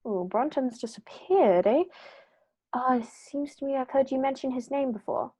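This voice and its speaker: A brisk and inquisitive gentleman detective, acted by a woman in the manner of 1930s radio drama. The voice sits somewhat high and sounds theatrical and sure of itself. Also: slightly rough, highs cut off. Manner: theatrical, self-assured, inquisitive. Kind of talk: 1930s-style radio play acting.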